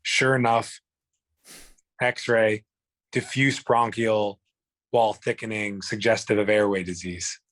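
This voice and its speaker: A man's voice: fairly low pitch, fairly even intonation, takes it slowly, long pauses, fairly bright tone, no room sound, poor audio. A man, telling his own illness story in a blunt, profane, rambling way. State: hoarse. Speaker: guest. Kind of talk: candid personal storytelling.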